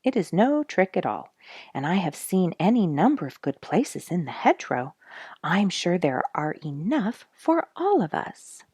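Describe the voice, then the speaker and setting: A woman's voice: fairly low pitch, very animated, unhurried, a little mellow, husky, no echo, studio quality, heavily acted. A woman voicing a friendly, plain-spoken male bobwhite, a helpful homebody neighbor in a storybook.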